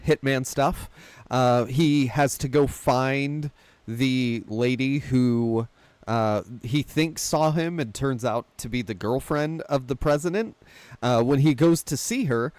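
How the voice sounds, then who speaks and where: A man's voice: medium pitch, a little mellow, no room sound. A man hosting a casual film discussion, talking through plots conversationally with wry, self-deprecating asides. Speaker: podcast host.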